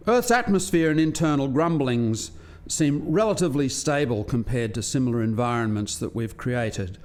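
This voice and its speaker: A man plays an articulate alien scientist in a radio drama. His voice sits at a medium pitch, and he explains with the precise manner of a lecturer. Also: lively intonation, normal speed, darkish tone, lightly acted. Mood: explanatory. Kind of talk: radio play acting.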